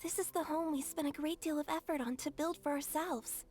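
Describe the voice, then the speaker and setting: Brief: actress as an earnest devoted woman, high voice. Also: steady tone, brisk, a little mellow, soft background music, lightly acted.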